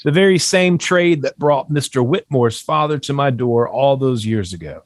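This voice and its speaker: A man's voice: mid-range voice, expressive, normal speed, close to an everyday voice. A man acting a genial, eccentric, well-to-do father in a period comedy read, chatty and genteel.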